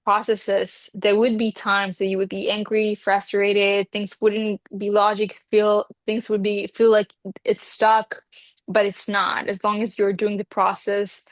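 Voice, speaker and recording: A woman's voice: medium pitch, flat delivery, a little slow, dry recording. A woman in her twenties whose first language is Hebrew, a Reiki healer speaking in an interview in an earnest, rambling way with many fillers. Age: 20s. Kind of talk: casual interview talk.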